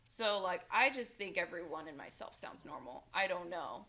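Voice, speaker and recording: Casting: young-sounding Southern Californian woman, bright voice, chatty and loose. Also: fairly low pitch, lively intonation, moderate pace. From Orange County, California. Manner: chatty, lots of filler "like". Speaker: guest DJ. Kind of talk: casual chat.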